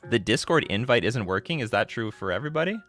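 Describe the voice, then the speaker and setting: A man in his thirties chats on his livestream, jumping between thoughts and restarting sentences as he answers viewers. His voice is bright and sharp, with soft music playing underneath. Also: mid-range voice, fast. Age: 30s. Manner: rambling, excitable, restarts sentences. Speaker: livestream host. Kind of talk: casual live-stream chat answering viewer questions.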